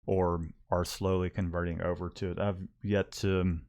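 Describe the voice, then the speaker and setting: A man's voice: low-pitched, level tone, normal speed, darkish tone. A man with a Southern accent mixing Texas and Louisiana, chatting casually and rambling with lots of restarts. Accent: Southern US, Texas-Louisiana mix. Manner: rambling, many restarts and fillers. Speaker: screen printing shop owner, co-host. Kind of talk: casual livestream chat.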